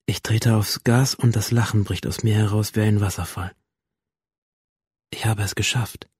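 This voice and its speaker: A man's voice: on the low side, fairly even intonation, normal speed, a little mellow, breathy edge, no echo, studio quality. A male actor playing a troubled young man who narrates his own slipping reality in an introspective radio drama monologue. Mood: elated.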